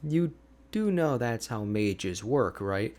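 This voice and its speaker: A man playing an energetic, boastful, chatty ice mage hermit in an ASMR roleplay, with a playful, expressive, conversational delivery. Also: mid-range voice, slow pace. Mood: chatty, opinionated. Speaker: audio roleplay voice actor.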